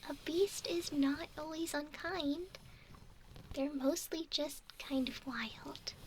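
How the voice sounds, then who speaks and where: Casting: woman as a studious, caring mermaid for ASMR, high soft voice, measured and slightly formal, often whispered, very unhurried. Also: slight background noise. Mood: thoughtful. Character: bookish, doting young female mermaid named Nemu.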